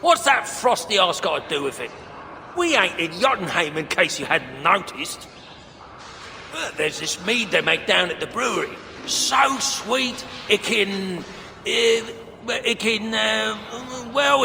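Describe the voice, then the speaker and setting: An actor playing an excitable, grumbling dwarf craftsman in a video game, with a blustering, colloquial manner. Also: high-pitched, creaky, full character voice. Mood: irritated. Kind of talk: video game cutscene acting. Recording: reverberant hall, faint background noise.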